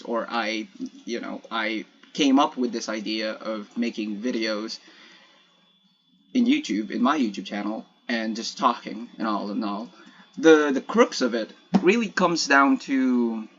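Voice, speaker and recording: A young man whose first language is Ilocano rambles in English over his gameplay. He stumbles, restarts and corrects himself as he goes. His voice sits at a middle pitch, and the home mic sounds a little dull and lo-fi. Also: fairly bright tone. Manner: hesitant, many restarts and self-corrections, laughs at himself. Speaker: solo podcaster playing a block-building game. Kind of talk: casual rambling commentary while gaming.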